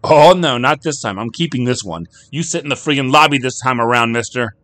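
A man voicing a shrill, angry working-class wife who screeches and swears. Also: brisk, a bit bright, dry recording, natural voice. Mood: defiant. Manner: screeching, furious.

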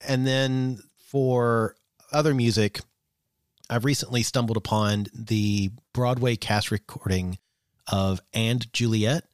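A man co-hosting a podcast, chatting in a relaxed way, with frequent fillers and the odd swear. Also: medium pitch, slow, clean tone, dry recording, studio quality. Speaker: podcast co-host. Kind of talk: casual chat.

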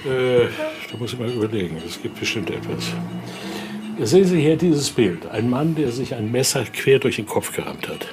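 A man's voice: medium pitch, big pitch swings, normal speed. A man giving an informal guided tour, explaining things and often adding small tag questions. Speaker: museum guide and voodoo expert. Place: indoor exhibition room. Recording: faint background noise on location.

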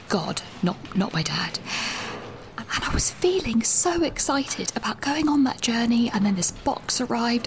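An actress playing an anxious young student who rambles through a voice note with many restarts, half whispering at first. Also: female voice, on the high side, in a large hall, noisy background. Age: young adult. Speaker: university student leaving a voice note. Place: small echoey toilet room.

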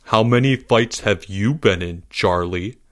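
A man's voice: on the low side, unhurried, a bit bright, pitched below the performer's own voice. A man voicing a condescending, combative schoolboy who brags and snaps in a storybook reading. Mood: bragging. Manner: condescending, snappy. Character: cocky, condescending schoolboy Albert, bragging and combative.

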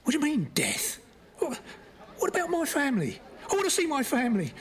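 An actor playing a desperate, bitter condemned prisoner in an audio drama, emotional and prone to stammering. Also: male voice, extremely high pitch, huge pitch swings, a little fast, full character voice. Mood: panicked, pleading.

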